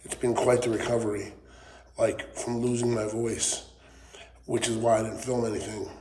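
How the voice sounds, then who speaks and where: A man's voice: medium pitch, little pitch movement, normal speed, a little mellow. Channel host, New man with a weak, recovering voice, rambling rant, roomy workshop echo. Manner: rambling, frequent ums and swearing. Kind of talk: casual political rant to camera. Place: wood shop. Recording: echoey workshop with faint noise.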